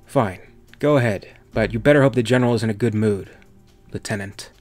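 A man voicing a gruff, impatient military captain in an audiobook, curt and scornful. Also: mid-range voice, measured pace, soft background music, close to an everyday voice. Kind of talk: audiobook character dialogue.

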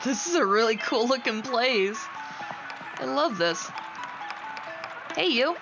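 A woman's voice: on the high side, animated, takes it slowly, highs cut off, loud music behind the voice. A woman chatting casually while she plays a video game, reacting with enthusiastic, gushing exclamations. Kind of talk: casual gaming chat and reactions.

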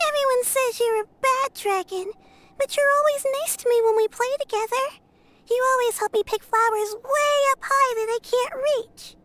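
A woman's voice: extremely high pitch, moderate pace, noisy background. A woman voicing a sweet, innocent little girl in a video game, with an earnest, childlike delivery. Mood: friendly, conspiratorial.

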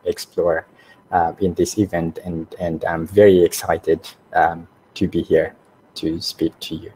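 Man, low dark voice, faint background hiss, headset on a video call. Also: low-quality recording. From Ethiopia. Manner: measured, many fillers. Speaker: researcher and panelist. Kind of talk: introducing himself on a panel. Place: home office.

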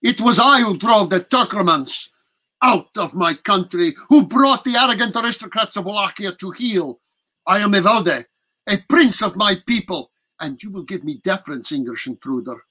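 A man voicing an aged aristocratic vampire prince who speaks slowly and commandingly. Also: high-pitched, lively intonation, sharp tone, pitched above the performer's own voice, full character voice. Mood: imperious. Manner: slow, deliberate, commanding.

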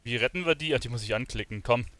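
A man's voice: mid-range voice, fast, a bit bright. A man streaming a video game, thinking aloud in informal commentary sprinkled with English gamer slang. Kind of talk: casual live gaming commentary.

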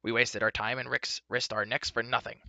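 A man voicing an irritable, gruff little male fair-folk creature who snaps and blusters. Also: mid-range voice, flat delivery, fairly quick, bright, forceful tone, dry recording. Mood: snappish. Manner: snappish, blustering.

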